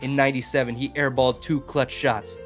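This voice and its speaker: A man's voice: mid-range voice, little pitch movement, brisk. Mock-earnest young man with music behind. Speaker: presenter in a group of friends. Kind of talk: joking slideshow presentation.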